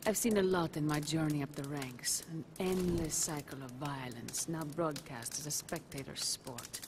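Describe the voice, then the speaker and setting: A proud assassin weary of the fight. Her voice is on the low side, formal and earnest, in dramatic game style, with clear noise behind it. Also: normal speed. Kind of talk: dramatic video game cutscene acting.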